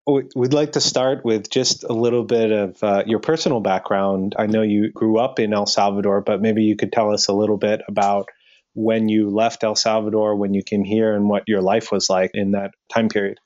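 A man's voice: fairly low pitch, flat delivery, moderate pace, a little mellow, highs cut off. A man hosting a podcast, introducing his guest and asking questions in a friendly, plain way. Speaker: podcast host. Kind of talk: podcast interviewing.

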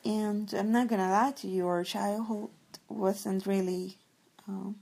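Thoughtful woman, mellow voice. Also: medium pitch, fairly even intonation, a little slow, vocal fry. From El Salvador. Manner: thoughtful, searching for words. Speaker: guest. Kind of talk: reflective conversation.

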